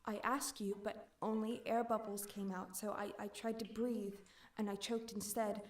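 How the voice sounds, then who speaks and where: A woman's voice: mid-range voice, flat delivery, moderate pace, darkish tone. A woman reading aloud in a spoken-word poetry recital. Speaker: poetry reader.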